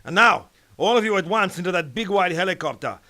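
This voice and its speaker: A man's voice: sits high, expressive, a little fast, bright, forceful tone. A man playing a pompous, bombastic United Nations leader who blusters and cuts others off in a satirical radio serial. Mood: impatient, blustering. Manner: grandiose, blustering, cutting others off.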